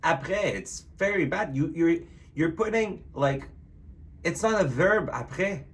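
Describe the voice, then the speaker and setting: A man's voice: a little high, lively intonation, a little slow. A man who teases his partner and lectures him, in a casual chat. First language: French. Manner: teasing, bossy. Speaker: vlogger's boyfriend.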